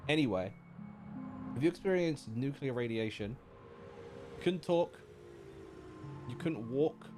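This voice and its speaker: A man's voice: mid-range voice, lively intonation, measured pace, noisy background. A man streaming live, giving casual, slangy and joking commentary as he reacts to a game. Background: game music.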